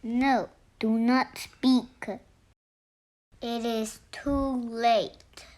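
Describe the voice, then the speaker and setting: A reader voicing an anxious elephant in a storybook, dramatic, halting and exclamatory. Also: medium pitch, flat delivery, a little mellow. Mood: worried, dramatic.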